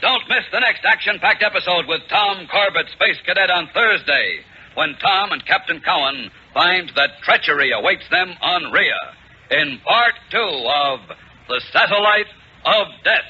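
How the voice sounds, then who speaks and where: A man's voice: sits high, lively intonation, a little slow, slight background noise. A man narrating a 1950s radio serial in an emphatic, theatrical announcer style. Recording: dull 1950s radio recording. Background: loud dramatic music.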